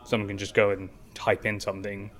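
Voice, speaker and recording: Flat-voiced young man explaining at length. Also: lowish voice, a little fast. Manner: long explanations with fillers and restarts. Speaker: startup founder, guest. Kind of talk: casual interview.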